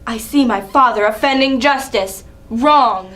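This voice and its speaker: A woman performs a young prince of Greek tragedy, defiant and principled. The voice is fairly high and the delivery cutting, in the style of a dramatic reading. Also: measured pace, fairly bright tone. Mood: accusing.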